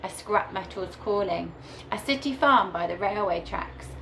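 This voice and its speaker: A woman teacher reading a picture book aloud to young children in a deliberate, animated storytelling way. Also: mid-range voice, gravelly, slight background noise. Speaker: primary school teacher reading to her class.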